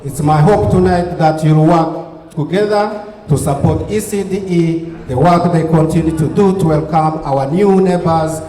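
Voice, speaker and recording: A man's voice: a little high, unhurried, warm tone. A man with a Ugandan accent giving an earnest keynote speech at a fundraising gala, building his points through repeated phrases. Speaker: keynote speaker. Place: banquet hall. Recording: echoey hall with long reverb.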